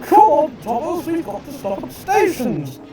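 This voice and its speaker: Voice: high-pitched, wildly swooping pitch, measured pace, over loud music, pitched above the performer's own voice, exaggerated voice. A narrator voicing fussy, prim female railway coaches in a children's story. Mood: urgent.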